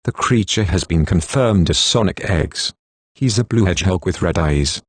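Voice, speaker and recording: A man's text-to-speech voice serves as an emergency alert announcer. It sits low and reads formally from a script. The sound is close and dry, with no room around it. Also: expressive, normal speed, slightly rough, no room sound. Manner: formal, synthetic delivery.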